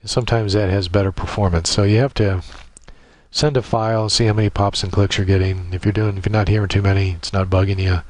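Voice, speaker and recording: A man narrating a casual software tutorial, explaining step by step in an informal, halting way. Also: lowish voice, fairly even intonation, no room sound. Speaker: tutorial presenter.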